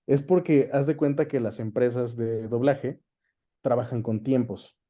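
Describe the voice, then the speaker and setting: Male, Mexican accent, mid-pitched and very mellow. Interview guest, explains colloquially with examples. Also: steady tone, measured pace, lo-fi sound. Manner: explanatory, colloquial, uses examples and frequent tag questions. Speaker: interview guest, dubbing director. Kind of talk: conversational interview.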